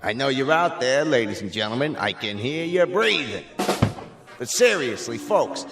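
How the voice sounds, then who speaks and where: A man's voice: medium pitch, sing-song, moderate pace. A man playing a corny, leering nightclub comic emcee in a radio play, with showy wisecracking patter. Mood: jokey. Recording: echoey room, loud backing music.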